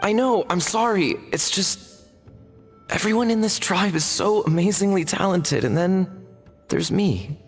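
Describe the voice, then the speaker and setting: A woman's voice: medium pitch, expressive, a little slow, husky. An actress voicing a timid, apologetic young boy in an anime fantasy game, hesitant and earnest, often stammering. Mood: remorseful, earnest. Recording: long reverb, music underneath.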